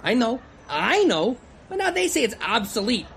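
Easygoing working-stiff installer, griping wearily, high and animated, audio drama with background noise. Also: male voice, moderate pace, vocal fry, full character voice. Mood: exasperated. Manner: weary, colloquial griping. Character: grumbling, easygoing blue-collar telecom installer.

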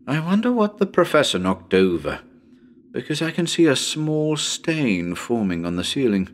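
A man's voice: medium pitch, very animated, moderate pace, a little hiss or hum, natural voice. A man playing a nervous radio broadcaster on a ghost hunt in a dramatic reading, talking to listeners in a chatty, hesitant, uneasy way. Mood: uneasy, curious. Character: nervous male radio broadcaster ghost hunting in haunted house.

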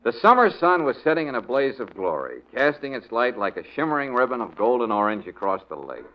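A wry, take-charge private detective played by a man in a vintage radio drama. He is brisk and dryly patient, with a very lively, swinging delivery. The recording is dull and muffled. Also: mid-range voice, natural voice. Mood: reading aloud. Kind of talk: 1940s-50s radio detective drama acting.

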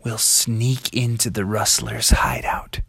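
A man's voice: sits high, wildly swooping pitch, a little slow, fairly bright tone, no echo, voice pushed up, exaggerated voice. A man voicing a brave, cheerful talking cowboy frog who boasts he will save the town. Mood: whispering.

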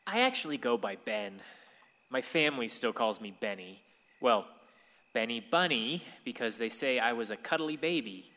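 A man's voice: mid-range voice, lively intonation, moderate pace, sharp tone. Wistful young man in a reverberant hall. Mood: wry, confiding. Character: wistful, awkward young man narrating his first love. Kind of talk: radio play monologue and dialogue.

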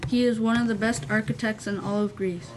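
A woman's voice: medium pitch, fairly even intonation, fairly quick, crowd noise, natural voice. A young woman in an amateur radio play, playing a formal announcer in ancient Athens. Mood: formal, presenting. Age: young adult. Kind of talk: amateur old-fashioned radio play acting. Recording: clearly noisy amateur recording with clicks.

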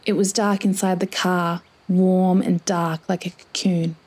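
A woman's voice: lowish voice, level tone, a little slow, a little mellow, slightly rough. A woman reading a scripted story as its narrator.

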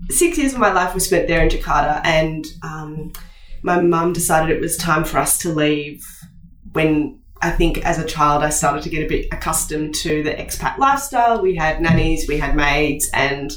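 A woman's voice: deep voice, normal speed, a bit bright, distant mic, a little hiss or hum. A woman with an Australian accent, a nutritionist guest chatting casually on a podcast, laughing easily and restarting sentences. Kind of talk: casual interview chat. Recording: roomy sound, voice off the mic.

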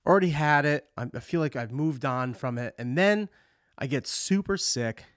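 A man's voice: fairly high pitch, animated, moderate pace, a bit bright, clear, resonant tone, no echo, clean studio sound. A touring musician hosting his own show, talking in a rambling, candid way and swearing often, his voice congested from a cold. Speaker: touring musician and podcast host. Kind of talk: casual monologue.

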